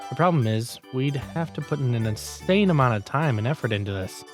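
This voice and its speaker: A man acts out a lazy male office senior in a manga cartoon. He is blunt and critical, explaining and grumbling with animated pitch movement, with music behind him. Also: brisk, lightly acted. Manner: matter-of-fact, grumbling, critical. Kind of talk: manga dialogue voice acting.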